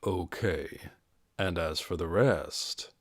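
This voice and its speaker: A man voicing a calm, overpowering male warrior in an audiobook, speaking quietly and casually with a taunting edge. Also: low voice, slow pace, dry recording, clean studio sound, pitched below the performer's own voice, full character voice. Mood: cool taunting.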